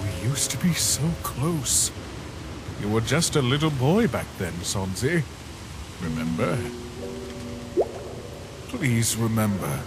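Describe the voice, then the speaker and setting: Ghostly manipulator male actor, coaxing yet commanding, unhurried pace, echo-laden sound. Comic dub drama with music. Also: medium pitch, very animated. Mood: pleading. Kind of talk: animated comic dub acting. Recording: echoey, with music behind.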